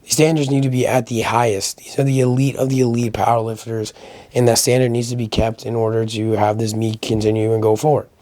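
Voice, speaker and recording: A man hosting a casual solo video commentary, giving opinions conversationally, his voice a little raspy as he gets over a sickness. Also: mid-range voice, little pitch movement, a little fast, mellow, dry recording. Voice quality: slightly raspy. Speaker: host of a powerlifting recap channel.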